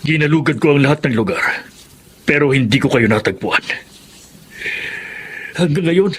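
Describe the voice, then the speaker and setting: A man's voice: on the high side, expressive, moderate pace, breathy edge. An actor with a Filipino accent playing a wronged, brooding middle-aged man in a radio melodrama. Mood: anguished.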